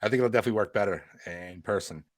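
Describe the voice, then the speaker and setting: A man's voice: fairly low pitch, very fast, dry recording, studio quality. A man hosting a casual chat, laid-back, swearing freely and laughing often. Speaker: host.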